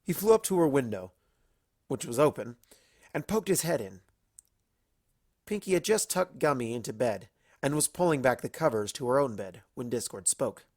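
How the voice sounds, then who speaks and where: A woman's voice: very deep voice, animated, normal speed, pauses often, darkish tone, no echo, studio quality. A woman narrating a comic story as a reader, in a storytelling style. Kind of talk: lively narration of a comic fan fiction story.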